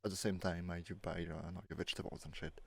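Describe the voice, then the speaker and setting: A man's voice: low-pitched, little pitch movement, very fast, gravelly, husky, dry recording. Casual man with a dry, close sound. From France. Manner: informal, mildly crude slang, repeats "like". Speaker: podcast co-host. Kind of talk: casual chat.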